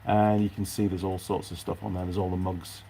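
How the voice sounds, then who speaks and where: A man with a fairly low voice talks off the cuff in a workshop, with faint noise behind him. He is chatty and rambling, thinking aloud as he addresses his viewers. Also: level tone, fairly quick, gravelly, warm tone. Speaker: mechanic vlogger. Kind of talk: casual unscripted chat to camera viewers.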